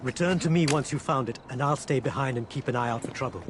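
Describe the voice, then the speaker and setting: A man's voice: medium pitch, fast talker, noisy background, natural voice. A man playing a courteous, refined Indian maharaja in a video game, with an Indian accent and formal, gallant manners. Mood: intent, conspiratorial. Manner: formal, polite, gallant turns of phrase. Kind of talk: video game cutscene acting. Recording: loud music behind the voice.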